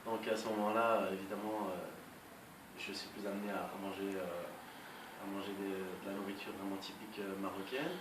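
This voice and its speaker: A young man from Belgium, answering interview questions in a calm, measured way with frequent hesitations. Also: deep voice. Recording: echoey room, voice far from mic, noisy.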